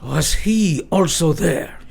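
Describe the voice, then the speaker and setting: A man playing a steady, commanding vampire hunter in a radio play, with a husky, creaky voice. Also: fairly high pitch, slow pace, full character voice.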